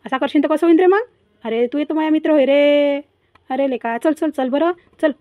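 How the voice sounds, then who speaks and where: A woman's voice: high voice, normal speed, dry recording, phone-quality sound, natural voice. A woman voicing a mischievous, boastful village boy in a cartoon comedy, chattering and teasing in a Varhadi Marathi accent.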